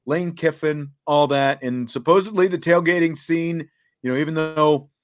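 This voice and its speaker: A man's voice: a little high, normal speed. A man hosting a sports talk show, chatting casually in conversation.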